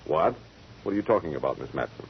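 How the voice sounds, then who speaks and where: An actor playing a gruff, blunt, puzzled ranch owner in a 1940s radio drama. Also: male voice, a bass voice, lively intonation, quick pace, full character voice. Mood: puzzled, urgent. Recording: noisy, muffled 1940s radio recording.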